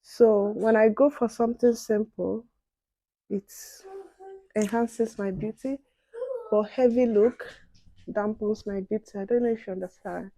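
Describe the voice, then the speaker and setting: Soft-spoken young woman, mellow tone. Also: mid-range voice, fairly even intonation, measured pace, long pauses, no echo, low-quality recording. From outside the UK. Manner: soft-spoken, reflective, chatty asides to viewers. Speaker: beauty and advice vlogger. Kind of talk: casual chat while doing makeup.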